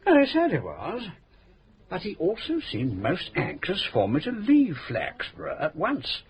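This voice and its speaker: An actor playing a courtly, pompous older former inquiry agent in a radio drama, speaking in a florid, formal way. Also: male voice, high-pitched, wildly swooping pitch, unhurried, husky, exaggerated voice.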